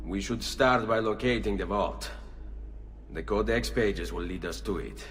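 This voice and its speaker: An actor playing a determined young Italian nobleman assassin in a video game, speaking gravely with an Italian accent. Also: lowish voice, measured pace, a bit bright, a little hiss or hum. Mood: resolute. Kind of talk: dramatic video game acting. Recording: long reverb, music behind.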